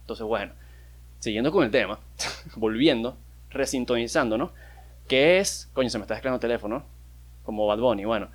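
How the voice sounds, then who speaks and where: Rambling, joking Venezuelan man. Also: medium pitch. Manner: rambling, joking, swears freely, frequent restarts. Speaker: podcast host. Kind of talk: casual solo podcast monologue.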